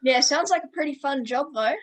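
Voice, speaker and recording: High-voiced upbeat woman host. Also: brisk. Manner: upbeat, friendly, calls guest mate. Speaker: podcast host. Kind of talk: interview questions.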